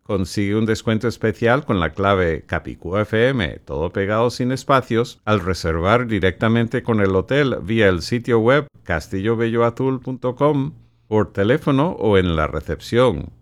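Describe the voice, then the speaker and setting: A man whose first language is English, hosting his own podcast in a measured, announcer-like way with sponsor reads. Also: on the low side, animated. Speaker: podcast host and director.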